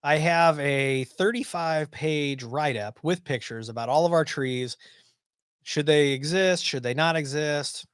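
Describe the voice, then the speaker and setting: A man's voice: on the high side, a little slow, clear, resonant tone, dry recording, clean studio sound. A man in his forties hosting a casual live stream from a small home office, chatting with many ums and restarts. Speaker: livestream host. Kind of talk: casual live-stream chat reading viewer comments.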